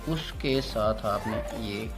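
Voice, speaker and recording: A man's voice: on the low side, takes it slowly. A man giving a casual, step-by-step how-to demonstration, repeating his instructions and addressing viewers as friends. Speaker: electrician giving a tutorial. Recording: loud music behind the voice.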